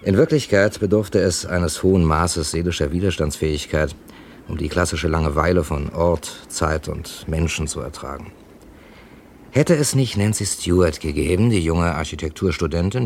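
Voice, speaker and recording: A man's voice: very deep voice, creaky. An actor playing a worldly, wry crime writer in his thirties in a 1970s German radio drama. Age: 30s. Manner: dry, wry, urbane. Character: Edgar Dickens, worldly thirty-six-year-old male crime writer from London. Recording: noisy old radio archive recording.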